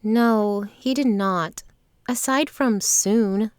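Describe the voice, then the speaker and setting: A woman's voice: mid-range voice, very slow, clear, resonant tone, no room sound, studio quality. A woman playing an earnest, confident traveling performer in a fantasy audio drama. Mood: matter-of-fact.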